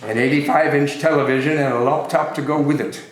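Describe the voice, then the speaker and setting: A man's voice: fairly high pitch, fairly even intonation, a little fast, lightly acted. Man playing a theatrical reformed old miser, echoey. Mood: warm, earnest. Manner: theatrical, exclamatory. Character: reformed old miser, gleeful, mock-stern and generous. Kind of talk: amateur dramatic stage reading. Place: live amateur reading in a reverberant room. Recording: echoey room from far off, noisy.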